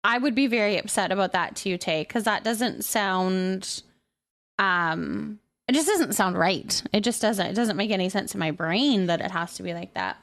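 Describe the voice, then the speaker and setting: A woman hosting a live stream, chatting casually with viewers in an animated, expressive, sympathetic and informal way as she reads and answers their comments. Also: medium pitch, normal speed.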